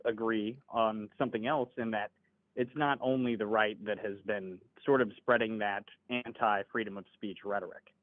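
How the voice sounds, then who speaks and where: A man in his twenties talks through a call in a lowish, measured voice, hedging each point politely. The audio is thin and phone-like, and completely dry. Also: fairly even intonation, no room sound. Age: 20s. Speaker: caller. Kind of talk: casual political debate.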